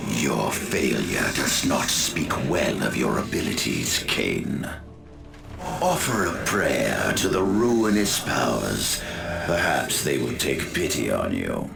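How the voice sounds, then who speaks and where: Deep, lordly Chaos warlord. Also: male voice, very animated, vocal fry, over music, exaggerated voice. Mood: scornful, menacing. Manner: pompous, measured, menacing. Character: lordly, contemptuous, patient evil Chaos warlord commander. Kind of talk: theatrical video game villain acting.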